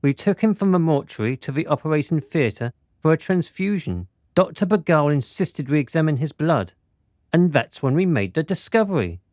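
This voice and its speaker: A man's voice: on the high side, very animated, a little mellow, no echo, clean studio sound. Man acting a courteous, precise doctor, lilting delivery. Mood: grave, explanatory. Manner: formal, deliberate, measured phrasing. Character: courteous, precise physician reporting to a lord. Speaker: actor in a radio drama. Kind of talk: theatrical period radio drama acting.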